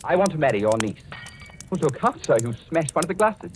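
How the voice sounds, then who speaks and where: An actor playing an impulsive young English lord in love, speaking with polite, eager insistence in an old-time radio drama. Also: male voice, a little high, sing-song, brisk, a little hiss or hum. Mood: nervous politeness. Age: young adult. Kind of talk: 1940s radio acting.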